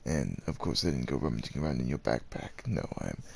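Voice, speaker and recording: A man playing a devoted, gentle werewolf suitor in an ASMR roleplay, speaking with hesitant tenderness and courtly phrasing in a gravelly, husky voice. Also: a bass voice, sing-song, a little fast, exaggerated voice. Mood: hesitant, earnest. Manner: hesitant, tender, old-fashioned courtly phrasing with tag questions. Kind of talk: ASMR roleplay, acted monologue addressed to the listener.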